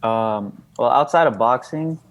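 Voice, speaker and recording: A man's voice: mid-range voice, measured pace. A young man whose first language is Bisaya answers interview questions casually and hesitantly, with lots of "uh" and "you know.". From Los Angeles. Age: young adult. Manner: hesitant, many fillers. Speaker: guest boxer.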